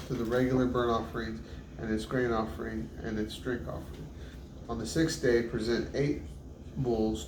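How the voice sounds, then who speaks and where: A man reads scripture aloud in measured, list-like phrases, with an occasional stumble. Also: mid-range voice. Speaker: Bible reader. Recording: roomy, distant mic with loud background noise.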